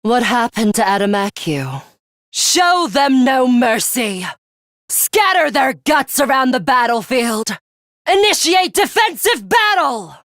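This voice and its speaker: A woman's voice: high voice, sing-song, slow pace, a bit bright, no echo, studio quality, exaggerated voice. A woman playing a bloodthirsty, disdainful young female student commander in a video game, haughty, taunting and commanding. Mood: sadistic relish.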